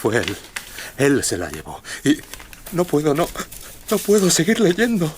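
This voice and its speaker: A man's voice: fairly high pitch, wildly swooping pitch, measured pace, background noise. An actor playing the distraught father of a missing girl in a true-crime audio drama.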